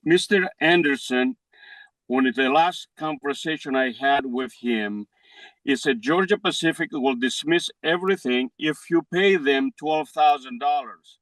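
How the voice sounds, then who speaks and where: A man in his sixties with a Greek accent, pleading his case in court in halting second-language English. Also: medium pitch, a bit bright, no echo, rough recording. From Greece. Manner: halting, non-native phrasing. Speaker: defendant in a civil case.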